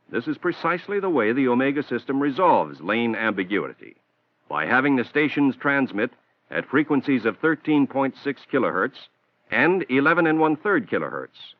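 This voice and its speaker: An American man reading a scripted instructional narration for a training film in a measured, formal, explanatory manner, on a 1960s film soundtrack. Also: medium pitch, no echo. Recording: dull, band-limited 1960s film soundtrack.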